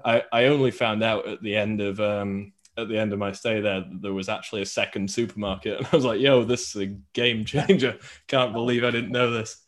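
Low-voiced man, slangy. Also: fairly even intonation, fairly quick, few pauses. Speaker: podcast co-host. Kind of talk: casual chat.

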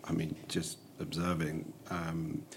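A man's voice: rumbling low, level tone, slow, slight background noise. A man hosting an interview, reflective, often summing up his guest's points. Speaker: host. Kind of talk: interview conversation.

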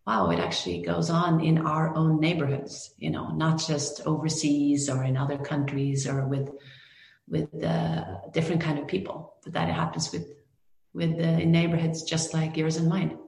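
A woman's voice: deep voice, normal speed, gravelly, darkish tone. A Norwegian woman, a film director, answering interview questions thoughtfully with many restarts and fillers. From Norway. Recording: echoey room.

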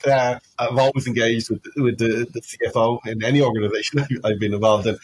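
A man's voice: medium pitch, steady tone, fairly quick, husky, low-quality recording. An Irish man in his fifties giving an earnest, explanatory answer in a conversational podcast interview, often saying "you know". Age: 50s. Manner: earnest, explanatory, frequent 'you know'. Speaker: interview guest, customer support executive.

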